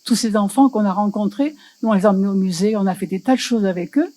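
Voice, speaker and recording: A woman's voice: medium pitch, fast, dark tone. A woman in the audience speaks passionately about language, often searching for her words. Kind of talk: festival panel discussion.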